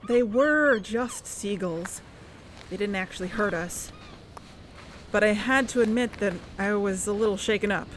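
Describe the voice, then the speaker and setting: A woman playing a shaken young narrator, telling a tense first-person story in a measured, confiding way in an audio drama. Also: mid-range voice, slight background noise, close to an everyday voice. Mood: uneasy, tense. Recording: music bed under the voice.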